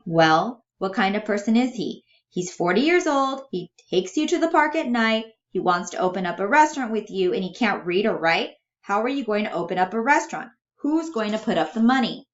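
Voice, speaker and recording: A woman's voice: mid-range voice, expressive, brisk, hardly any pauses, highs cut off, voice pushed up, lightly acted. A woman voicing a suspicious, domineering adult brother with a blunt, sarcastic, questioning manner, in a dramatic play reading. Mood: sarcastic, scornful.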